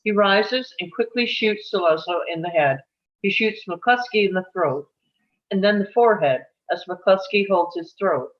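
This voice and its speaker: A woman's voice: medium pitch, moderate pace. Woman reading screenplay directions, muffled audio. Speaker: stage directions reader at a table read. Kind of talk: scripted narration of screenplay action.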